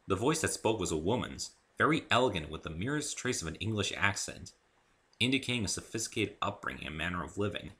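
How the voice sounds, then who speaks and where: A man giving an expressive first-person dramatic reading of scripted fiction as its narrator. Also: sits low, quick pace. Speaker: author reading own story.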